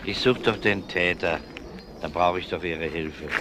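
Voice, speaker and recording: An actor playing an easygoing, polite but probing police detective in a German crime radio drama, casual and understated. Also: male voice, low voice, measured pace, noisy background.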